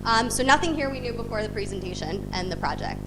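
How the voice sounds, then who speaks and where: A woman's voice: on the high side, expressive, fast talker, noisy background. A woman in her thirties from the United States, giving an upbeat live app demo at a bootcamp demo day. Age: 30s. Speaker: bootcamp student presenter. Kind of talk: demo day product presentation. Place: event stage, live presentation. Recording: loud music in background.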